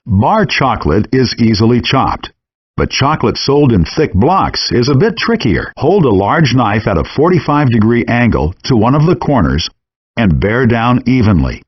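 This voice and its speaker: The husky man's voice from a handheld cooking game's tutorial, walking you through each step with animated intonation out of a small, muffled speaker. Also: unhurried. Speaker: instructional narrator of a cooking game. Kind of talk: scripted instructional narration. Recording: dull, compressed low-fidelity game audio.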